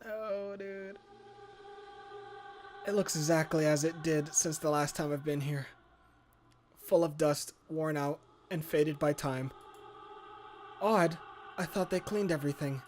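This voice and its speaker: A man's voice: a little high, slow, pauses often, a little mellow, faint music behind. A man reading a horror visual novel aloud as a let's player, dramatic in narration and casual in his reactions.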